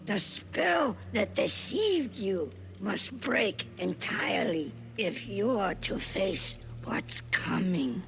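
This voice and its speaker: An actor playing a solemn, urgent mystical elder in a video game cutscene, with a grave, halting, emphatic delivery. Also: medium pitch, animated, vocal fry, fairly bright tone, faint music behind, full character voice.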